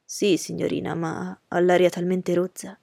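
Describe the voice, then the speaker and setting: A woman's voice: lowish voice, level tone, moderate pace, creaky, mellow, dry recording, studio quality. A woman reading the part of a deferential, uneasy male servant bringing messages in an audiobook story. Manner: deferential, hesitant.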